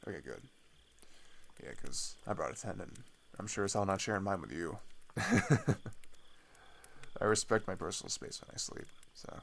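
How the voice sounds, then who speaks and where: A young man playing a weary teenage boy fleeing his homeland, speaking haltingly in an intimate, naturalistic audio roleplay. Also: deep voice, animated, vocal fry, a bit bright, a little hiss or hum, full character voice. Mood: awkward, casual. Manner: hesitant, halting, sighing, trailing off.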